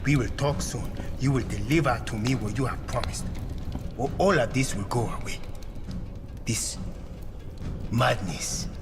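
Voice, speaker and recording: A man's voice: medium pitch, unhurried, long pauses, lightly acted. An actor playing a menacing, demanding man in a video game cutscene, speaking with cold threat. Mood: scornful. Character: menacing, demanding man bargaining with a cult prophet. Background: music.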